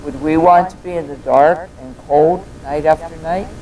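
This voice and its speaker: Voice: mid-range voice, normal speed, a crowd in the background, low-quality recording. An older person reading a scripted devotional reflection and personal anecdote as a storyteller.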